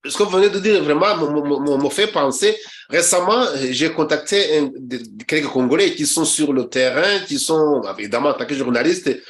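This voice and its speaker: Halting, somewhat high-voiced man from Rwanda. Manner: halting, with frequent repeated words and restarts. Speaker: journalist and show host. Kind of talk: political commentary on a talk show.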